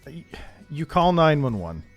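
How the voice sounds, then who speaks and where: A man hosting a livestream, casually and haltingly improvising a text adventure with amused asides. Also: on the high side, very animated, faint music behind. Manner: amused, laughs easily, pieces sentences together haltingly. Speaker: livestream host playing a text adventure game.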